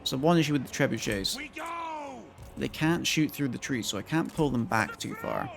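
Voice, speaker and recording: A male streamer with a croaky voice, chatting casually to his viewers as he thinks aloud and plans his moves in a strategy game. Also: medium pitch, big pitch swings, normal speed, background noise. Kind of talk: casual gameplay commentary to chat.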